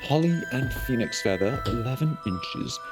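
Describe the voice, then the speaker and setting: A man voicing an eccentric, eerie old wandmaker, soft-spoken and musing, in a theatrical storybook reading. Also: medium pitch, expressive, over loud music, close to an everyday voice. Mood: intrigued. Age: older. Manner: musing, theatrical, drawn-out phrasing with sudden eager bursts. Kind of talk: dramatic audiobook reading with character voices.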